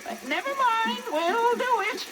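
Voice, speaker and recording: A scrawny old witch from a children's audio tale, greedy and menacing. Her voice is high, drawn out and wildly animated. She gloats and cackles, with music on the old record. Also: very noisy. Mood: scheming, gleeful. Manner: slow, gloating, menacing delivery. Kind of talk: children's story record acting.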